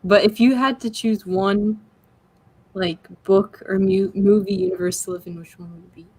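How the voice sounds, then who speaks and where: A woman's voice: mid-range voice, steady tone, moderate pace, a little mellow, slightly rough, no echo, poor audio. A woman co-hosting a casual podcast, speaking informally and a little hesitantly. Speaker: podcast co-host.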